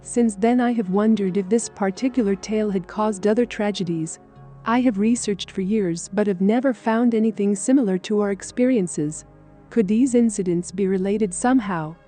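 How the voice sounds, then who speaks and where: Woman, scripted storytelling, mellow soft-edged tone, mid-range pitch, music underneath. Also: moderate pace. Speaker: story narrator. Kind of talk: scripted narration of first-person paranormal account.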